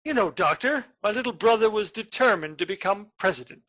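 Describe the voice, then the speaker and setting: A menacing criminal nephew in a radio stage comedy, acted by a man. He broods theatrically and turns mock-sweet, with pitch that swings widely from phrase to phrase. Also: expressive, fairly quick, fairly bright tone.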